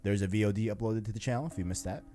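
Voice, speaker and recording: Low-pitched man hosting a casual gaming podcast. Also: steady tone, rapid-fire, mellow, faint music behind. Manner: informal, slangy, rambling. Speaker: podcast host.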